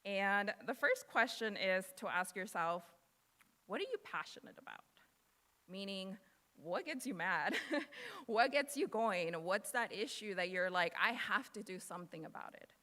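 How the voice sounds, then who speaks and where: Woman, twenties, first language Spanish, motivational speech, casual and earnest, crisp bright voice, long hall reverb. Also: medium pitch, lively intonation, a little slow. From United States. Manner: earnest, casual with fillers and laughs. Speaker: guest speaker.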